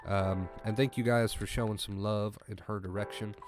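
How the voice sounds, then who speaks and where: A man hosting a livestream about anxiety, reading chat and thanking viewers by name. He speaks in a calm, reassuring way, repeats key phrases, and sounds congested, clearing his throat often. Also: lowish voice, moderate pace. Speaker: livestream host coaching on anxiety. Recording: music clearly audible behind.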